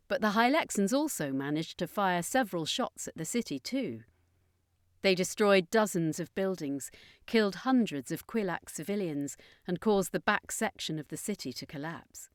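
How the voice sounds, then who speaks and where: A woman's voice: fairly low pitch, lively intonation, moderate pace, no room sound, studio quality. A woman storyteller reading scripted narration of a fantasy adventure.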